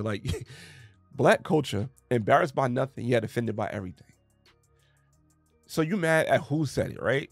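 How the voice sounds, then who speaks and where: A man's voice: mid-range voice, vocal fry, breathy edge, dry recording. A man in his thirties, getting over a cold, reacting to a clip from his small home studio with emphatic rhetorical questions. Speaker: commentary channel host. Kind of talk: reaction commentary. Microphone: studio mic on boom arm, headphones.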